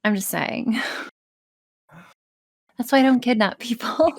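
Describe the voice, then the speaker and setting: A woman's voice: fairly high pitch, slow. A woman talking as a podcast guest in a casual interview, blunt and joking, with plenty of swearing. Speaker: podcast guest, audio writer and performer.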